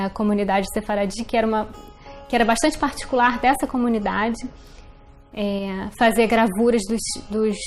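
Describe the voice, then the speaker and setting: A woman's voice: on the high side, darkish tone. A woman with a Brazilian accent telling personal family history in a documentary interview. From born in Portugal to Brazilian parents.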